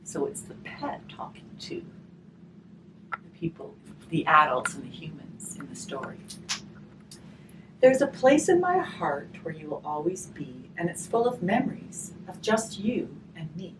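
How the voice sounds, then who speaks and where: A woman with a low-pitched voice talks softly and reflectively, like someone guiding a gentle session or reading to a small group. She takes her time and often lets phrases fade. She sounds distant from the microphone, with clear noise in the recording. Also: sing-song, measured pace. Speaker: hospice volunteer leading a grief session. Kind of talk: gentle spoken session with storybook read-aloud. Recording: distant microphone, clearly noisy room ambience.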